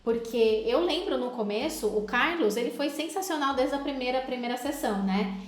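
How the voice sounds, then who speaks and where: A woman's voice: sits high, expressive, normal speed, slight background noise. A woman, a hypnotherapist, chatting persuasively with a guest and often adding tag questions. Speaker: hypnotherapist host. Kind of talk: casual interview chat. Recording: roomy echo.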